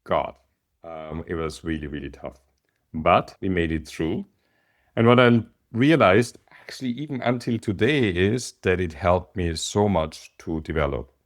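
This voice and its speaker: A man from Austria with an Austrian German accent, a business coach talking casually and hesitantly to an online group, with many pauses and ums. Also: low voice.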